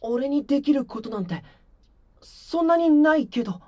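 A man playing a gentle, shy, earnest teenage boy idol in an anime-style voice drama, speaking softly and hesitantly. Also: extremely high pitch, lively intonation, normal speed, dull audio. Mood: earnest, pleading. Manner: soft, hesitant, sincere delivery.

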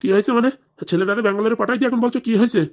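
Give me an actor doing an argumentative cartoon villager, blustering at extremely high speed. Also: fairly even intonation, very fast, close to an everyday voice. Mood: angry. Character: quarrelsome village characters, threatening elder and evasive father. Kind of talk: comedy cartoon voice acting.

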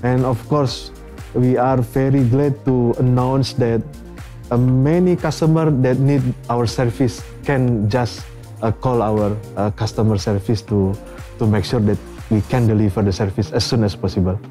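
A man in his fifties with an Indonesian accent, a company executive answering interview questions in halting English full of "uh" fillers and restarts. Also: mid-range voice, steady tone, dark tone, soft background music. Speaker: company president director, guest. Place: bright office studio set.

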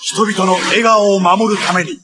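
An actor playing the solemn narrator of a heroic TV saga, phrasing the scripted lines dramatically and deliberately. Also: male voice, sits high, fairly even intonation, noisy background. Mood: solemn, resolute.

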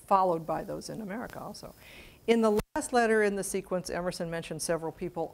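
A middle-aged woman with a fairly low voice gives an academic lecture. She explains carefully and a little hesitantly, speaking into a lapel mic in a small meeting room. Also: creaky, a little mellow. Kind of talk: prepared academic talk to an audience.

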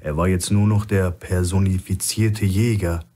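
A man's voice: deep voice, fairly even intonation, a little slow, mellow. A man reading a scripted thriller as an audiobook narrator.

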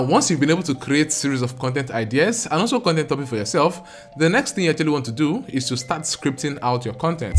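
A young man with a Nigerian accent, explaining step by step in an earnest, emphatic vlog to camera. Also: mid-range voice. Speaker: YouTube creator. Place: small home studio room. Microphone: large studio mic close in front.

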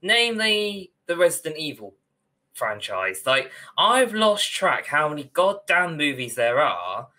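A man's voice: on the high side, very animated, a little slow, bright, forceful tone. A young man co-hosting a podcast over a video call, ranting in a casual, emphatic, mildly sweary way. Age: young adult. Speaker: podcast co-host. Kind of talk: casual opinionated discussion. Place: indoor room, video call.

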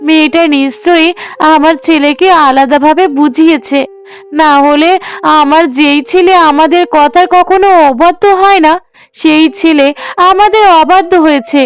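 A very high-pitched, aggrieved older mother played by a woman in a cartoon melodrama. She complains bitterly, with open scorn for those who disobey her. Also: steady tone, normal speed, faint music behind, lightly acted. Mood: aggrieved disbelief. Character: bitter, disapproving older mother of a grown son.

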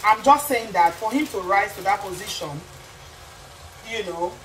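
A woman's voice: mid-range voice, expressive, normal speed, a bit bright, low-quality recording. Impassioned Nigerian woman vlogging in her kitchen. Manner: impassioned, rhetorical questions, repeats phrases for emphasis. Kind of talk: cooking vlog with social commentary. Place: home kitchen, indoors. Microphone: camera on the counter. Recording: frying noise behind voice.

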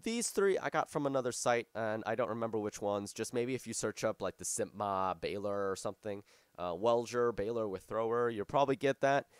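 A hoarse young man who is losing his voice, giving rambling, casual commentary over a farming game at his desk microphone, with lots of fillers and restarts. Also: on the low side, brisk, no echo, clean studio sound. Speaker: gaming YouTuber. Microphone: desktop microphone.

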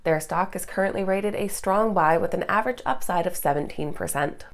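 A woman in her thirties, slightly stuffed up from a cold, reading a brisk, upbeat scripted morning market update as host in a studio. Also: on the low side. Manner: brisk, upbeat presenter delivery. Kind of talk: scripted market news read. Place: studio, green screen backdrop.

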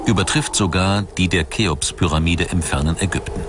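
A man reading scripted documentary narration in a measured, articulate manner. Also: sits low, background noise.

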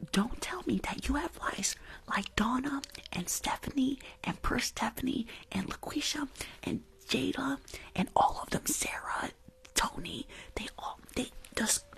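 A young woman playing a rude, weary school nurse in an ASMR roleplay, grumbling in a breathy whisper close to the mic. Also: sits high, fairly bright tone, exaggerated voice, poor audio. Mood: exasperated. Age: young adult. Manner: sassy, grumbling, rambling. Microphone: close foam-covered microphone on desk.

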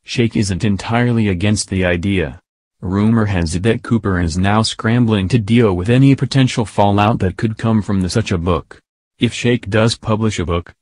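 A man narrating a scripted celebrity gossip piece in a steady, even read. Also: on the low side, no room sound, clean studio sound.

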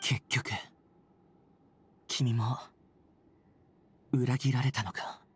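A woman's voice: very deep voice, level tone, very slow, a little hiss or hum, exaggerated voice. A woman voicing a lonely, world-weary outcast dragon in a video game, speaking in a somber, sardonic way. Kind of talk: scripted game voice acting.

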